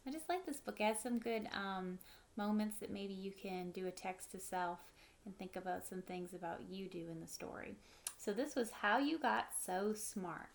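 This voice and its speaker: A woman's voice: medium pitch, moderate pace, slight background noise. A woman in her thirties reading a picture book aloud as a teacher, expressively, adding simple explanations for children. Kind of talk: children's read-aloud with short explanatory asides. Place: living room at home, indoors.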